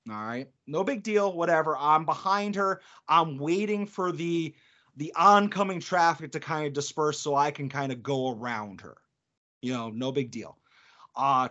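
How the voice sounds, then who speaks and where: A man co-hosting a podcast, telling an animated story in casual chat and laughing between lines. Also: a little high. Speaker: podcast co-host. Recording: dull lo-fi podcast audio.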